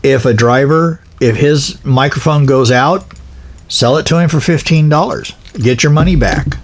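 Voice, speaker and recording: A man with a medium-pitched voice gives informal, practical instruction. He speaks in a folksy, unscripted way, wandering through his points. His delivery halts often as he backs up and rephrases. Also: slight background noise. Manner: rambling, folksy, halting with self-corrections. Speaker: pilot car operator giving equipment advice.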